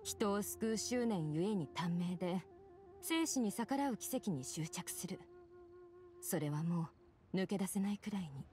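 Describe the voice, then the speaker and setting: A serious, perceptive woman physician from a video game. She speaks with concern and measured gravity, her intonation moving widely over soft background music. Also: mid-range voice, sing-song. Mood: wistful, resigned. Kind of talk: dramatic Japanese game voice acting.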